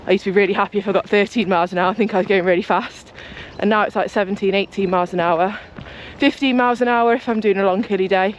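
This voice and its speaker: A young woman, chatting casually to her camera as a cycling vlogger, rambling and talkative, her voice a bit croaky from just waking up. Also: mid-range voice, fairly even intonation, quick pace, few pauses, slight background noise. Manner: chatty, rambling, talks a lot. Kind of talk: casual vlog chat to camera. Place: home, then outdoors on a walk.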